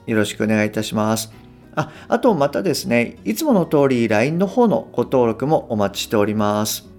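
A man's voice: medium pitch, a little mellow. Japanese man hosting a lesson over music. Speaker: podcast host and business English coach. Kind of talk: podcast lesson, reading aloud and explaining.

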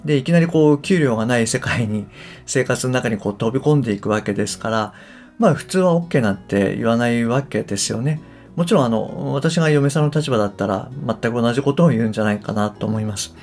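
A man's voice: mid-range voice, fast talker, darkish tone, faint music behind. A middle-aged man talking casually and reflectively on his own podcast, often phrasing his thoughts tentatively. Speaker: podcast host and English coach. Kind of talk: casual solo podcast talk.

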